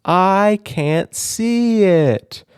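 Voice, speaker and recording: Man voicing a brisk, sensible girl bunny detective. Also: sits high, animated, clear, resonant tone, no echo, studio quality, voice pushed up, full character voice. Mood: brisk, focused.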